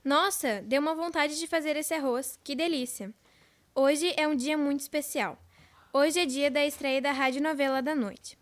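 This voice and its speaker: High-pitched Brazilian girl radio presenter. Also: normal speed. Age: child, about twelve. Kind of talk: scripted 1940s-style radio presenting.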